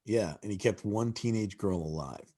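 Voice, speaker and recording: A man's voice: fairly low pitch, moderate pace, dark tone. A man on a panel, talking casually and eagerly over a video call, often trailing into asides.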